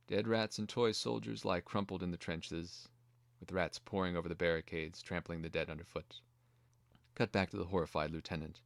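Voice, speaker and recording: Deep voiced guy reading out a screenplay, measured and dramatic, really dry sound. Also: gravelly, no echo. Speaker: narrator. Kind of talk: scripted narration of a screenplay.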